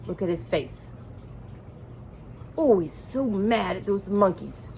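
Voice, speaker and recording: A woman reading a picture book aloud to young children in an expressive way, engaging her listeners as she goes. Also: mid-range voice, gravelly, slight background noise. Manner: expressive, pauses to ask listeners questions. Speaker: children's storybook reader.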